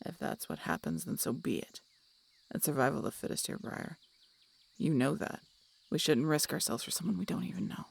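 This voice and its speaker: A woman plays a cynical, guarded female harpy in a fantasy audio roleplay. Her voice is heavily fried and gravelly, with pitch that moves around a lot. She sounds curt and sardonic, as if everything is a grudging chore. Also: big pitch swings, a little fast, exaggerated voice. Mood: stern.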